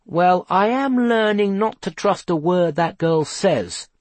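A man voicing an earnest, excitable boy who plays amateur sleuth in a children's story, anxious and blustering. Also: sits high, slow, no room sound, pitched above the performer's own voice.

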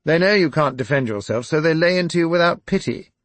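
Hotheaded young aristocrat, loud and high-pitched, ranting, as a man puts him on. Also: animated, fast talker, no echo, natural voice. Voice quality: ranting, emphatic.

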